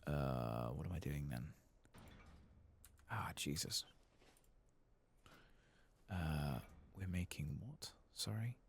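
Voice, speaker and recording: A man giving soft-spoken, sometimes whispered ASMR commentary on a game, murmuring to himself and reading text aloud. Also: a bass voice, sing-song, takes it slowly, gravelly, pauses often, slight background noise. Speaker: ASMR gaming channel host.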